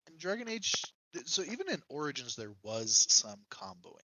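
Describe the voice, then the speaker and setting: A man's voice: fairly high pitch, sing-song, normal speed, pushed and bright, dry recording, low-quality recording. A man on a casual gaming podcast, explaining his opinions conversationally and working through examples. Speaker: podcast co-host or guest.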